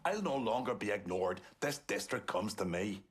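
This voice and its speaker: An actor playing a proud, aggrieved Irish mob boss, demanding and boastful, in a video game. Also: male voice, fairly low pitch, animated, normal speed, breathy edge. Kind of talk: video game cutscene acting.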